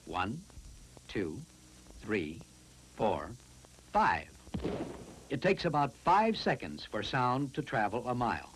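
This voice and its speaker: A man reads scripted science narration for a classroom film, explaining in a deliberate, instructive way. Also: medium pitch, huge pitch swings, fairly bright tone, a little hiss or hum, poor audio.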